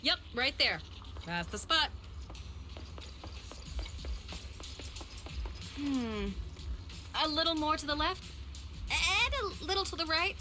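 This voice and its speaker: Bossy fussy film crew lady in a game, sharp high voice, brisk. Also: lively intonation, soft background music, exaggerated voice. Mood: directing. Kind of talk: video game voice acting.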